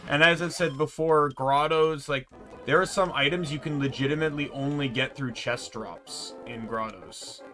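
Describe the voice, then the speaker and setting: A man's voice: a little high, moderate pace, music in the background. A man giving casual, rambling, explanatory commentary while streaming a video game.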